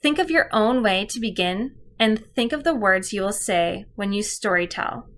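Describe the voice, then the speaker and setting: A woman's voice: mid-range voice, animated, normal speed, fairly bright tone. A woman speaking as an elementary teacher, giving children step-by-step instructions in a video lesson. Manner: clear step-by-step instructing, addressing pupils directly.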